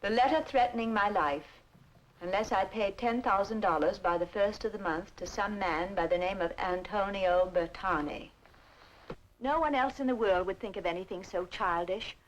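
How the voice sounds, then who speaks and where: An actress playing a middle-aged, sharp-tongued, mocking aunt in a radio drama, haughty and articulate. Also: female voice, medium pitch, normal speed, slight background noise, close to an everyday voice, rough recording. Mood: accusing, firm. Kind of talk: old-fashioned radio drama acting.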